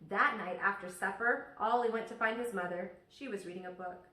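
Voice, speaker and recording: A woman teacher reading a children's story aloud expressively, pausing to ask her listeners questions. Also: mid-range voice, bright, forceful tone. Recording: echoey room, distant microphone.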